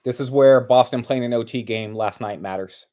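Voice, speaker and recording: Man, sports co-host, casual analysis, medium pitch, conversational and explanatory. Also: moderate pace, mellow. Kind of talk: casual analytical game commentary.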